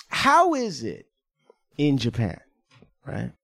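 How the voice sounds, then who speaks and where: A man's voice: medium pitch, huge pitch swings, extremely slow, creaky, bright, forceful tone, dry recording. A man hosting a podcast in a studio, asking questions in a pushy, profane way. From United States. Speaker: podcast host. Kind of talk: casual chat, interviewing.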